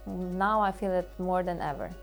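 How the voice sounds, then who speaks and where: A woman's voice: lowish voice, normal speed, faint music behind. A woman, answering in an earnest, direct way. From Ukraine. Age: late 20s. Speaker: interview guest.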